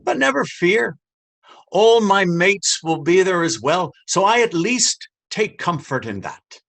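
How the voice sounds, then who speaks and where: An actor playing a cruel, taunting police officer in a stage drama, with a mocking, menacing drawl. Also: male voice, high-pitched, sing-song, takes it slowly, creaky, a bit bright, full character voice. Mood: smug, dismissive. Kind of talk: stage readers theater drama.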